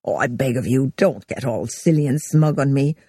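A woman's voice: extremely low pitch, moderate pace, dark tone, husky, dry recording, studio quality, voice pushed down, full character voice. A woman doing a blustering indignant man. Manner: sneering, indignant. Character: exasperated middle-aged man, defensive and blustering.

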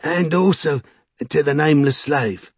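A man's voice: a little high, a little slow, creaky, slightly rough, dry recording, voice pushed up, full character voice. A man voicing a mocking, exasperated, cryptic street prophet in an audiobook. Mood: solemn, cryptic. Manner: scoffing, goading.

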